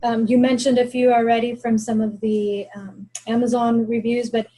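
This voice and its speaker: A woman with a slightly high, husky voice hosts a book club author talk. She asks loose, rambling questions and reworks them partway through. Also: flat delivery, normal speed, mellow, low-quality recording. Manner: rambling, rephrases her questions mid-way. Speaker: book club host, interviewer. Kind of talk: casual interview questions.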